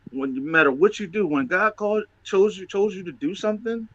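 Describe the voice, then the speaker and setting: A man's voice: sits high, fairly quick, fairly bright tone, no room sound, highs cut off. A man talking casually and motivationally as a show host, emphatic and testifying, repeating phrases for stress.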